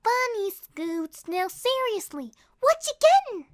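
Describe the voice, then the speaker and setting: Woman voicing a very high, sarcastic pony filly. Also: big pitch swings, slow pace, full character voice. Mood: sarcastic, prodding.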